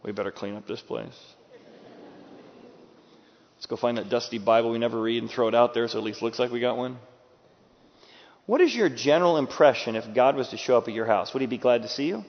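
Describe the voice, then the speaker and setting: A man preaching a sermon with dramatic delivery, acting out quoted voices and moving from loud bursts to hushed, quiet lines. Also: mid-range voice, brisk, pauses often. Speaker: pastor. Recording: dull sound with long hall reverb.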